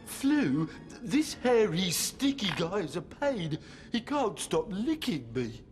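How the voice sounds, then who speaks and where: A grumpy little alcohol drop in a children's cartoon. The delivery is slow and hesitant but lively in pitch, full of complaint, with faint music behind. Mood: annoyed. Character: grumpy, uneasy alcohol drop, sidekick to a germ. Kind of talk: cartoon voice acting.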